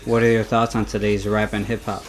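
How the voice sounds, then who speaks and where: A man's voice: on the low side, flat delivery, fairly quick, faint music behind. A man working as an interviewer, asking prepared questions in a casual, informal style.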